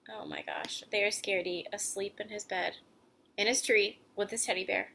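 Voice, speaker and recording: A woman's voice: medium pitch, huge pitch swings, normal speed, gravelly, bright, forceful tone, a little hiss or hum. A woman hosting a children's story time, reading a picture book aloud expressively and adding chatty, casual explanations for young listeners. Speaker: host and children's story reader.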